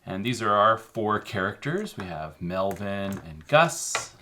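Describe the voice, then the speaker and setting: A man co-hosting a children's show, explaining a craft casually in an informal, halting way. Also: on the low side, sing-song, pushed and bright. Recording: faint background noise.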